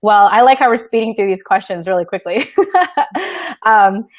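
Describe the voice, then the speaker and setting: A woman's voice: mid-range voice, moderate pace, no echo. A woman from the Philippines interviewing a guest in a chatty way, using a lot of fillers. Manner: chatty, heavy filler use. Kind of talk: casual interview.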